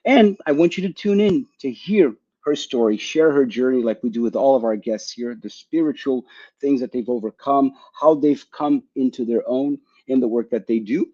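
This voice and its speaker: Male podcast host, eager and encouraging, warm tone, muffled recording. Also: mid-range voice, normal speed. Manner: enthusiastic, encouraging. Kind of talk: podcast interview.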